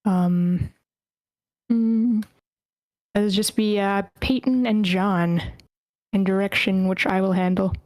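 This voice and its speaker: A woman's voice: mid-range voice, fairly even intonation, slow pace, pauses often, a little mellow, no room sound. A woman hosting an online script table read, chatting casually in an informal, playful way. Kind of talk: casual chat, moderating an online meeting. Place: online voice meeting, dry close sound.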